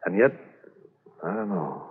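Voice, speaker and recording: An actor playing a brisk, methodical police officer in a 1950s radio drama, with firm, clipped, businesslike questioning. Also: male voice, deep voice, expressive, a little hiss or hum. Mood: pondering. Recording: muffled 1950s radio transcription recording.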